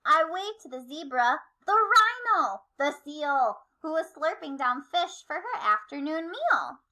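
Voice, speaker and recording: Bright, high-voiced woman reading a children's storybook. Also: sing-song, measured pace, poor audio. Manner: rhythmic, sing-song rhyme. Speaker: storybook reader. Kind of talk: expressive children's read-aloud narration.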